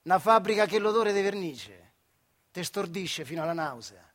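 An actor playing an embittered old retired factory worker in a radio theatre monologue, in earthy, colloquial central Italian dialect from the Marche, moving between hushed and loud. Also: male voice, high-pitched, lively intonation, moderate pace. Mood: wry, reminiscing.

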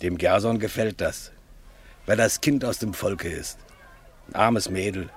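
An actor playing a cynical cleric with a husky voice, speaking in a sneering way. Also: male voice, lowish voice, measured pace, close to an everyday voice. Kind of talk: radio play acting.

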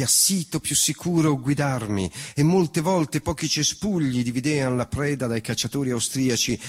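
A man's voice: mid-range voice, husky. A man reciting autobiographical epic poetry in a formal, declamatory manner. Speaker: reciting voice in a verse reading. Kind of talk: dramatic recitation of 19th-century autobiographical epic verse.

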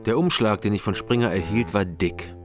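An actor playing a guarded East German investigator in a German radio drama, terse and understated, both narrating and in dialogue. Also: male voice, lowish voice, moderate pace, natural voice. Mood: measured. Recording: music behind the voice.

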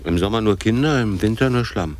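An actor playing a gruff, weary man in a radio drama, muttering tersely as he humors a child. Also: on the low side, brisk, lightly acted.

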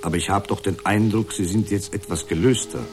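Measured, low-voiced male therapist played by an actor. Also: fairly even intonation, a little mellow, faint music behind, natural voice. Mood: measured, reassuring. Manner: measured, formulaic, reflective phrasing. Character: male talk therapist, calm, formulaic, parroting his client. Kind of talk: radio drama acting.

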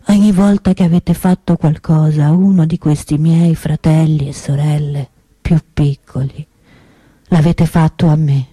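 A woman's voice: low voice, dark tone, husky, no room sound, full character voice. A woman playing an impassioned, reform-minded preacher who delivers a solemn, accusatory sermon as a theatrical monologue. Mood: solemn, liturgical.

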